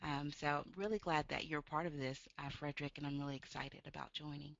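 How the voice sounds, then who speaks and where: Low-pitched woman calling in, muffled line. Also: steady tone, brisk, vocal fry, fairly bright tone, slight background noise. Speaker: caller. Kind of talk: casual phone question. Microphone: phone. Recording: dull phone call audio.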